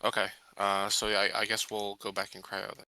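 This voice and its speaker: A man talks in character during a tabletop game. His voice is fairly low yet extremely bright and sharp. The delivery is casual and the sound is close and dry. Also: monotone, brisk, smooth, no echo, rough recording. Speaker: tabletop roleplaying player. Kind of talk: casual in-character roleplay talk.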